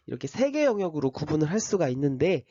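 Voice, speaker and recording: A male teacher with a slight cold talks a class through the course outline, stopping often for fillers and restarts. His pitch sits a little high and moves around a lot, and the tone is mellow. The recording is dry and a bit dull. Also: sing-song, fast, dry recording. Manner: explains step by step, with many fillers and restarts. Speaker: high school history teacher. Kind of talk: recorded class orientation lecture.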